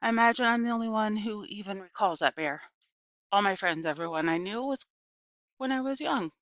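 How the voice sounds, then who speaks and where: A woman's voice: medium pitch, animated, a little fast, a bit bright, dry recording, lo-fi sound, pitched above the performer's own voice, lightly acted. A woman voicing an elderly small-town librarian in a children's storybook, a folksy, emphatic storyteller who repeats herself and turns dramatic and wistful. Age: older. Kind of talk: children's storybook read aloud.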